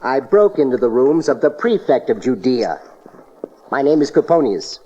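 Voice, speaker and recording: A man's voice: mid-range voice, big pitch swings, normal speed, mellow, close to an everyday voice. A man playing a cold, formal, commanding Roman prefect in a dramatized Bible audio play, on a noisy, dull cassette. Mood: cold authority. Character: stern, commanding Roman prefect taking over Judea.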